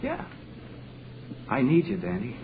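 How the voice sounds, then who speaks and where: Earnest lovesick young American, halting and pleading, highly animated pitch, vintage radio play with clear hiss and noise. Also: male voice. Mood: urgent, pleading. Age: young adult. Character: earnest, desperate lovesick young man with a bad leg. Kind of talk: 1940s radio drama acting. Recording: noisy, tinny 1940s radio broadcast.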